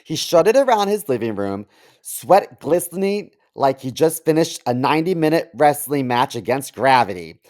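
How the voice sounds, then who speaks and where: A man's voice: medium pitch, big pitch swings, moderate pace, darkish tone. A man co-hosting a comedy podcast in casual banter and comic story reading. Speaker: podcast co-host.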